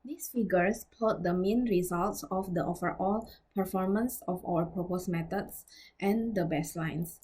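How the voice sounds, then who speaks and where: Slightly husky woman presenting research. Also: fairly low pitch, a little slow, warm tone. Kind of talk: scripted academic presentation.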